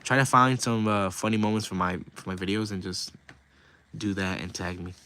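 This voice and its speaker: A man hosting a casual livestream, rambling with lots of fillers, his voice raspy and hoarse from talking nonstop. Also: on the low side, steady tone, normal speed, bright, forceful tone. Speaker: livestream host and wrestling video creator.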